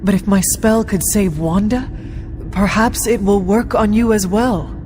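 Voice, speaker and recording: A woman playing a stoic, earnest young hero in a video game, with a formal, measured way of speaking. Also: medium pitch, darkish tone, a little hiss or hum, natural voice. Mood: defiant. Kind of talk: dramatic video game acting.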